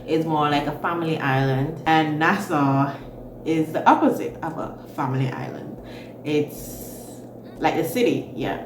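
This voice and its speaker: A woman's voice: low-pitched, reverberant, distant mic. Casual vlogger, Jamaican woman in her thirties, chatty and emphatic, mic set back in a noisy room. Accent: Jamaican with Bahamian mix. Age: 30s. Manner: chatty, expressive, repeats words for emphasis. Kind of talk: casual storytime chat.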